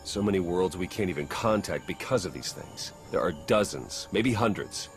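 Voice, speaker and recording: An actor playing a grim, worried agent briefing a commander in a video game, earnest and grave. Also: male voice, sits low, animated, normal speed, faint music behind. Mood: worried, urgent. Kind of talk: dramatic video game acting.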